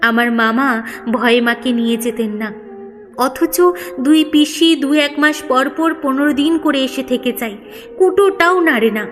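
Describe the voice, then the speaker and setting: Woman voicing young student brother, aggrieved, earnest complaint, raised pitch, story drama, music behind. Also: fairly bright tone. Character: aggrieved college-age brother-in-law, protective of mother. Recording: long reverb, background music.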